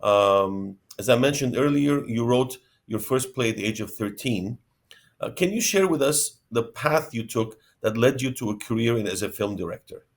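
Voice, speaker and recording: Male host, formal, reads prepared questions, medium pitch. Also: expressive, normal speed. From Canada. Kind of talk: scripted interview introduction.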